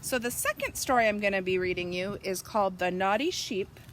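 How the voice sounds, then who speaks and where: A woman reading a storybook aloud for young children, pausing to explain and ask them simple questions. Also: mid-range voice, expressive, slight background noise.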